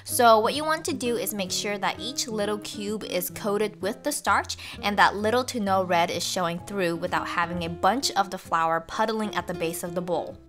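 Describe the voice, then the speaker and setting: A woman's voice: mid-range voice, normal speed, hardly any pauses, fairly bright tone, soft background music. Young woman narrating a cooking recipe. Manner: cheerful step-by-step instruction. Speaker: cooking channel host. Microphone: voice-over.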